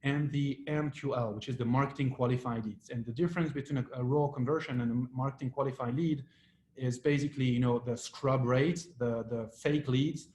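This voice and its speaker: A man from France with a French accent, giving a business presentation to an online audience, halting often with fillers and restarts. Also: medium pitch, steady tone, darkish tone. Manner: hesitant, many fillers and restarts. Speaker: company founder giving a webinar talk. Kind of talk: presentation with slides. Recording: roomy echo with faint ambient noise.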